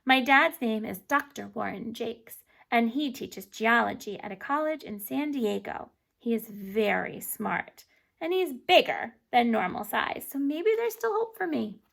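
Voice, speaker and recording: A woman reading a children's chapter book aloud in an animated, emphatic way, stumbling over words now and then. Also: a little high, a little slow, vocal fry. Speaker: storybook reader.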